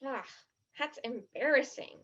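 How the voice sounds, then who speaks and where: A woman's voice: high voice, a little slow, fairly bright tone. An actress in a stage play as a blunt, skeptical, dramatic teenage girl, in a slightly muffled recording. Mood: embarrassed, skeptical.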